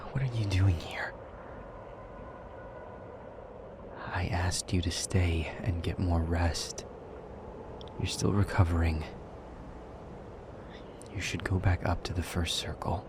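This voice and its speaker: A man playing a brooding, wounded demon lover in an intimate audio roleplay, speaking in a hushed, breathy, measured way. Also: low voice, background noise. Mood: guarded concern.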